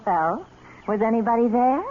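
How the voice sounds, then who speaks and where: An actress playing a bossy, teasing young sweetheart in a 1970s radio drama. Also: female voice, a little high, animated, normal speed, close to an everyday voice. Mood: teasing, practical. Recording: tinny, noisy old radio recording.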